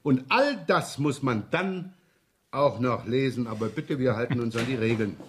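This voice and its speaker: A man's voice: mid-range voice, animated, moderate pace, a little hiss or hum, lightly acted. Actor as a sardonic, wily male police inspector. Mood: brisk, wry. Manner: feigned absent-mindedness, dry sarcasm, probing. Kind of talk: 1970s German radio crime drama acting.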